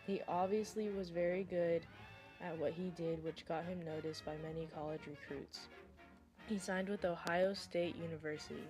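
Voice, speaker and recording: Lowish young woman narrating over music. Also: steady tone, moderate pace, a little mellow. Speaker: sports segment presenter. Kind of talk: scripted narration.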